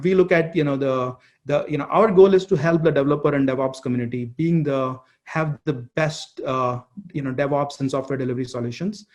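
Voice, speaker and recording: A man presenting a product keynote as a company chief executive, speaking haltingly and often repeating words and phrases. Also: medium pitch, a little mellow.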